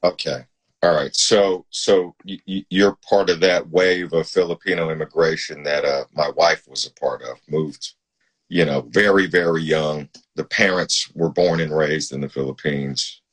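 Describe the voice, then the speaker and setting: A man's voice: very deep voice, fairly even intonation, unhurried, slightly rough. A man hosting a casual live-stream interview, talking in an admiring, emphatic way. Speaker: host.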